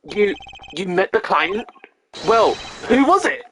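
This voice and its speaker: A streamer voicing an earnest young male defense lawyer in a courtroom video game, pressing with forceful delivery. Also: mid-range voice, sing-song, slow pace, slight background noise, voice pushed up, exaggerated voice. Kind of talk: reading game dialogue aloud on stream.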